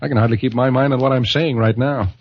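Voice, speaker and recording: A man's voice: medium pitch, steady tone, fast, natural voice. An actor playing a wisecracking, jealous male suitor with a wry, sarcastic delivery in an old-time radio comedy. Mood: smitten. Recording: muffled vintage radio recording.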